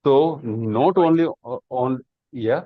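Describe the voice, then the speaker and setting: Man, tech trainer, repeats key points, rhetorical questions, medium pitch, muffled close recording. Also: slow pace, no echo. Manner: explains patiently with many repeats and rhetorical questions. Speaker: cloud computing trainer. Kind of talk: live online lecture. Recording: dull lo-fi dry online class audio.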